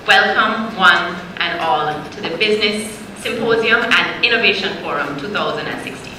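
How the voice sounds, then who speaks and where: A woman hosting an event, delivering a formal welcome address in a measured, rhetorical, ceremonial way. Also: fairly low pitch. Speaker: event host. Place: conference hall. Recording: echoey hall, faint noise.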